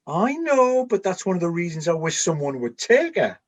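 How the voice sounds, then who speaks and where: Man acting a high-pitched, grumbling, vain soldier. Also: big pitch swings, normal speed. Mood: wounded pride. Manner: grumbling, wistful, droll bantering. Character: bored, vain, plain-looking male Trojan soldier on night watch. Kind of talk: comic radio play dialogue.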